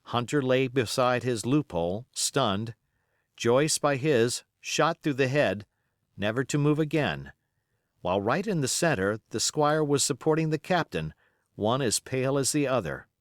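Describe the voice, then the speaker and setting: A man narrating an adventure novel as an audiobook, in an expressive, measured, dramatic storytelling style. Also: medium pitch, no echo, clean studio sound.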